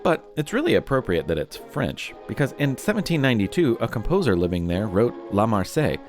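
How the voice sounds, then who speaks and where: A man narrates for young listeners with highly animated, swooping intonation. His delivery is playful and theatrical, dotted with wry asides, and faint music plays underneath. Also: brisk. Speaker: podcast host. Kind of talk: scripted narration for a children's history and quiz show.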